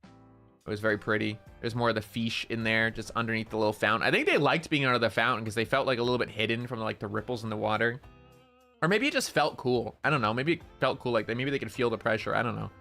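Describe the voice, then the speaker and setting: A young man with a nasal voice, chatting loudly and excitably on a casual stream, full of slang. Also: medium pitch, quick pace, gravelly, faint music behind.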